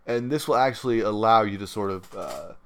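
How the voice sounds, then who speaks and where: A man hosting a casual gaming podcast, talking in a halting, rambling way. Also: lowish voice. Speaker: podcast host. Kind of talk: casual gaming talk show commentary.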